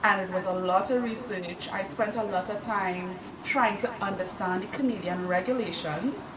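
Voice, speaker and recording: A woman from Trinidad and Tobago, a podcast guest explaining her consulting work in a measured, earnest way. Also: medium pitch. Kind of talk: casual interview. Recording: roomy, distant mic with crowd noise.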